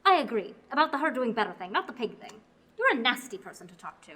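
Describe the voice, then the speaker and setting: A protective mother in a student radio play, blunt and sharp-tongued, as a teenage girl acts her out. Her voice is bright and tense, set a little high. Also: sing-song, fast, slightly rough, heavily acted.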